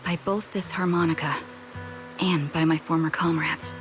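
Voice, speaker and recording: An actress playing a resolute young sniper in a video game, with a calm, measured and formal delivery. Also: female voice, low voice, lightly acted. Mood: composed. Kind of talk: dramatic video game voice acting. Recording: music behind the voice.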